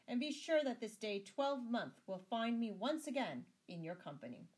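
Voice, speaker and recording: Woman as a male medieval knight, earnest, courtly, proud, husky, storybook character. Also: very high-pitched, sing-song, normal speed, pitched above the performer's own voice, heavily acted. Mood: grateful. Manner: earnest, courtly, pleading or defiant.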